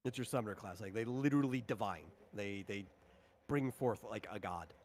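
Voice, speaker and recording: A man casually explaining the rules of a tabletop game as its host, in a halting way, rephrasing as he goes. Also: fairly low pitch, a little mellow.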